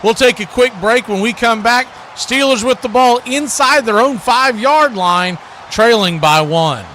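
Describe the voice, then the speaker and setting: A man's voice: very high voice, a little slow. A man doing live radio play-by-play commentary of a football game as the announcer. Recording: clearly noisy live broadcast.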